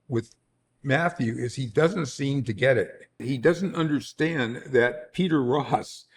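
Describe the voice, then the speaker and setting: A man giving casual commentary, haltingly piecing his thoughts together. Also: medium pitch.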